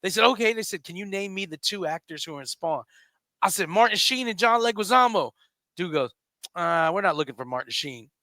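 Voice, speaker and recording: A man in his forties from South Carolina, hosting a comedy radio show and telling animated stories with acted-out quotes. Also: sits high, a little fast, dry recording. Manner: animated storyteller, acts out quoted voices. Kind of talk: casual comedy radio banter.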